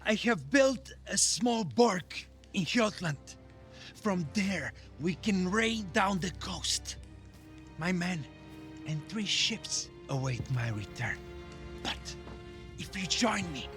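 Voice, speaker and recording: An actor playing a commanding, far-travelled Viking jarl in a video game, speaking with measured authority. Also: male voice, high-pitched, big pitch swings, fairly bright tone, faint music behind, full character voice. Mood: persuasive. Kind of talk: cinematic video game acting.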